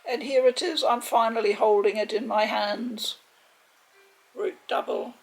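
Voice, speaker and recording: A woman giving a casual, chatty unboxing commentary in a measured, hesitant way, with a creaky voice. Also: a little high, fairly even intonation, a little mellow, low-quality recording. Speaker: video game unboxing host. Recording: faint background noise.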